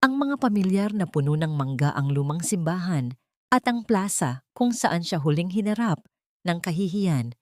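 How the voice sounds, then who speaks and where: A woman's voice: lowish voice, sing-song, moderate pace, darkish tone, no echo, clean studio sound. A woman with a Filipino accent reading a scripted dramatic story as its narrator.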